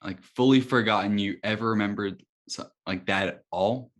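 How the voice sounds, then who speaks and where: A man's voice: fairly low pitch, a bit bright, natural voice. Man playing anxious, hesitant rookie superhero. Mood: worried, hesitant. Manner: halting, unsure. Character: anxious, hesitant young male superhero newcomer. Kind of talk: table read of a scripted pilot.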